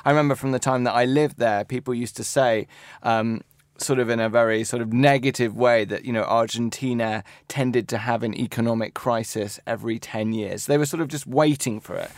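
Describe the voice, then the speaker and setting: A man's voice: medium pitch, a little fast, dry recording. A man working as an editor and correspondent, talking things through in a radio studio discussion, reflective and hedging often. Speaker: Americas editor at large, correspondent.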